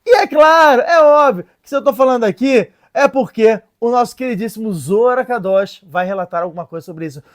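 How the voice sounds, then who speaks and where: A woman presenting a casual lesson in an animated, joking way, stopping to read and translate sources. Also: fairly high pitch, a little fast, few pauses. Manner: animated, playful, joking asides; reads and translates sources aloud. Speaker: religious video presenter.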